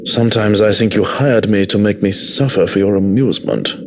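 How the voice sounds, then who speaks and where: A man's voice: lowish voice, brisk, background noise, close to an everyday voice. An actor playing a tall, intimidating, long-suffering male security man in a visual novel, dry and grudging. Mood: dryly aggrieved.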